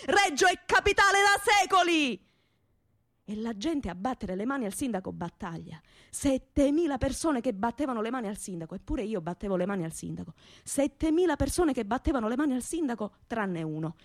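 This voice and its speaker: A woman's voice: high-pitched, fairly bright tone, no room sound, clean studio sound. An actress playing a fiery young working-class woman with a Calabrian southern Italian accent, telling her story colloquially and emphatically in a radio theatre monologue. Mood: fiery, rallying.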